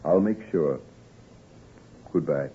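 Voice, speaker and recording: A man playing a troubled, weary gentleman doctor in an old-time radio drama, guarded and subdued. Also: extremely low pitch, takes it slowly, phone-quality sound, slight background noise. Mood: uneasy, resigned.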